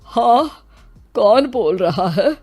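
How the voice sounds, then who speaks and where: A woman acts out a weary elderly father in a storybook drama, plaintive and polite. The voice is slow and fairly high, full of grief, with music underneath. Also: animated, a little mellow, breathy edge, exaggerated voice. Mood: puzzled. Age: older.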